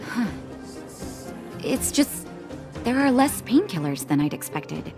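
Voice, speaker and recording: An actress voicing a caring, composed woman medic in a video game, measured and gently teasing. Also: fairly high pitch, sing-song, music in the background, exaggerated voice. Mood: pleased, thoughtful.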